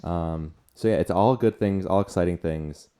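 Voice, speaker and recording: Male podcast co-host, 20s. Lowish, dark relaxed voice, informal halting chat. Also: clean tone. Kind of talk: casual chat.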